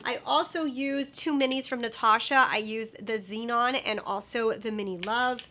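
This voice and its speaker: A woman's voice: fairly high pitch, normal speed, bright, forceful tone, a little hiss or hum. A young woman with a nasal, stuffed-up voice from a sinus infection, chatting bubbly through a makeup review with lots of fillers. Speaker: beauty vlogger.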